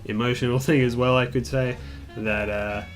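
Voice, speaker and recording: A man's voice: mid-range voice, moderate pace. A young man telling a personal story in a casual, rambling, candid way, with mild swearing and self-deprecation. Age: young adult. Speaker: gaming video creator telling a personal story. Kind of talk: casual storytime chat over gameplay. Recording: music behind the voice. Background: calm guitar music.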